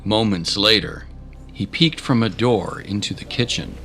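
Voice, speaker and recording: A man reading scripted dramatic narration of a fantasy adventure in a measured, deliberate way with pauses for effect. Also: fairly low pitch, gravelly, sharp tone, faint music behind. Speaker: storyteller, narrator.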